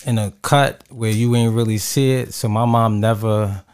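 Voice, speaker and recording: A man from Barbados co-hosting a podcast, talking casually and haltingly, often repeating words as he restarts his sentences. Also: on the low side, steady tone, darkish tone, clear, resonant tone. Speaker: podcast co-host. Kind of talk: casual chat.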